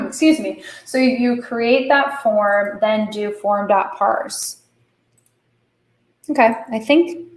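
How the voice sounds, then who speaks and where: A woman hosting a live coding stream, slightly hoarse, talking herself through the code with lots of fillers. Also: fairly high pitch, drawn-out words. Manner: thinking aloud while coding, many fillers. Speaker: host. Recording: echoey room.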